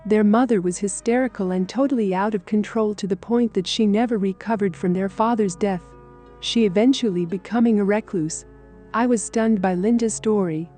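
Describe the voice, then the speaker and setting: A woman's voice: medium pitch, moderate pace, dark tone. A woman reading a scripted first-person account of a paranormal experience as a story narrator. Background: music.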